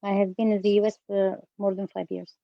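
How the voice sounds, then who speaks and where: A woman's voice: mid-range voice, level tone, a little fast, gravelly, no echo. Woman from Yemen, careful and hesitant delivery, dull lo-fi call audio, dry sound. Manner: careful, hesitant, frequent fillers. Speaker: guest student.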